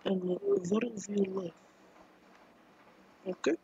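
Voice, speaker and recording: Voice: mid-range voice, fairly even intonation, takes it slowly, mellow, lo-fi sound, a little hiss or hum. A gaming streamer chatting casually and jokingly while playing, now and then singing a few words. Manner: playful, jokey, sings snatches. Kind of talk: casual game commentary.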